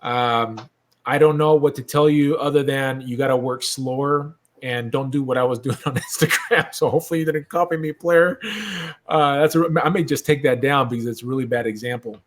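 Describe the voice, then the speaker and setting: A man hosting a casual online chat, excitable and quick to react, trading hobby tips with his guests. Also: medium pitch. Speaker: host. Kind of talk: casual chat about custom action figures.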